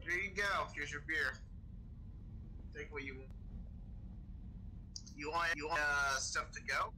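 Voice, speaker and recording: Bright higher-pitched man in game role-play. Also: a little slow, slight background noise, low-quality recording. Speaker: role-player in an online game. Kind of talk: casual in-character role-play chat. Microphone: game voice chat microphone.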